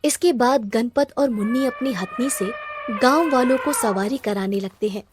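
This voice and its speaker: A woman's voice: fairly high pitch, normal speed, background noise. A woman storyteller giving scripted narration for a children's story.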